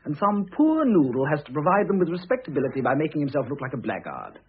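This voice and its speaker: An actor playing a cheeky, cutting young son with an English public-school accent, articulate and sardonic, in an old-fashioned radio drama. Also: male voice, on the high side, big pitch swings, fast talker, breathy edge. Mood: scornful, indignant. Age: young adult. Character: cheeky, cutting Oxford-educated son, loyal to his mother. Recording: muffled, lo-fi old radio recording.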